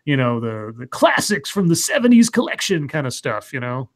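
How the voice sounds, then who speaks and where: A man in his late thirties works through viewer comments on a casual live chat show. His voice sits at an ordinary middle pitch. He reacts in a dry, deadpan way. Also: normal speed. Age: late 30s. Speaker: livestream co-host reading viewer chat.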